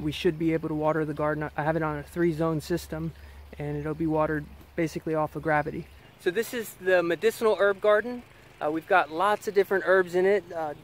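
An American man in his thirties giving a casual, explanatory farm tour, speaking into a camera held at arm's length outdoors. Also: a little high. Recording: outdoor ambient noise.

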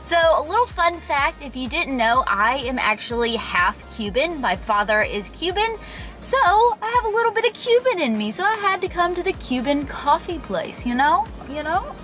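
A woman's voice: high-pitched, very animated, moderate pace, fairly bright tone, faint music behind. A young woman chatting upbeat to a handheld camera in a car and outdoors, as a travel vlogger. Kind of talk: casual travel vlog. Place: parked car, then outdoors on a pier and bridge. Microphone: handheld camera at arm's length.

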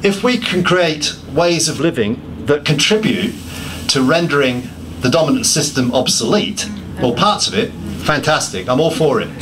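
A man's voice: a little high, lively intonation, normal speed, background noise. A man giving a public talk, listing points emphatically and often restarting his sentences with self-deprecating asides. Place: pub function room.

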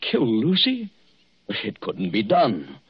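An actor playing a protective, sceptical husband in a 1940s radio drama, reassuring and brisk in manner. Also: male voice, lowish voice, wildly swooping pitch, background noise, heavily acted. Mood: worried, disbelieving. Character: protective, sceptical young husband soothing his wife. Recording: muffled vintage 1940s radio broadcast.

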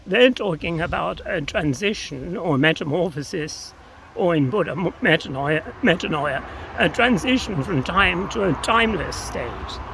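Older guy explaining stuff thoughtfully outside, high-ish creaky voice, clear background noise. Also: expressive, moderate pace, fairly bright tone, low-quality recording. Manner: tentative, philosophical, hedging. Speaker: interview guest. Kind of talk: reflective explanatory interview talk. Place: outdoors on open grassland by a path. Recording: outdoor recording with clear ambient noise.

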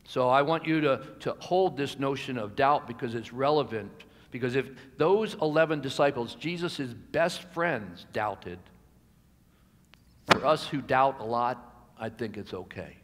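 A man's voice: mid-range voice, measured pace. American man preaching in a reverberant hall. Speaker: pastor preaching. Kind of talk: sermon. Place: church sanctuary at a pulpit. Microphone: gooseneck pulpit microphone. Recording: reverberant hall, amplified pulpit sound.

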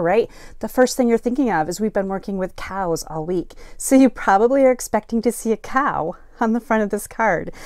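A middle-aged woman teaching a live card-making class from her craft room, chatting and greeting viewers as she demonstrates, feeling a bit foggy and slow today. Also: medium pitch, darkish tone, no echo, studio quality.

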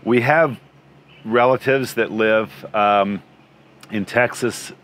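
A man's voice: fairly low pitch, steady tone, takes it slowly, a bit bright, a little hiss or hum. A man in his sixties, interviewed outdoors beside a travel trailer, answering in a friendly, rambling way with many restarts.

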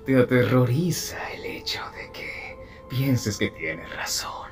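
A deranged villain behind bars in a film dub. He taunts at a very slow pace, in a low voice that swoops wildly from murmurs to giggling cackles, over loud music. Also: sing-song, whispered. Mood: menacing, sinister. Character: deranged male prison inmate, mocking, giggling villain. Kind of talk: Spanish fan dub film acting.

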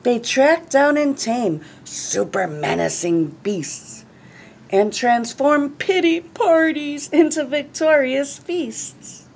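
Slow-reading, higher-voiced woman storyteller, noisy recording. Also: expressive, a little mellow. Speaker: storyteller reading a picture book to children. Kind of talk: read-aloud storytelling. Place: small classroom, indoors. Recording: clearly noisy room recording.

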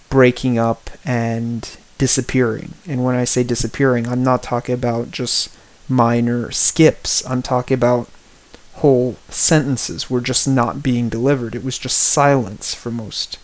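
A man giving a spoken personal-opinion tech review as a reviewer, in deliberate, halting phrases with emphatic repetition. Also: mid-range voice, a little mellow, low-quality recording. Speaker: product reviewer.

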